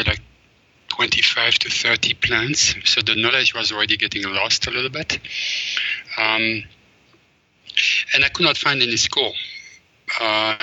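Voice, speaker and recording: A man's voice: lowish voice, pushed and bright, no room sound. A middle-aged man from Belgium, an interview guest who rambles with many fillers and restarts. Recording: dull, dry, lo-fi sound.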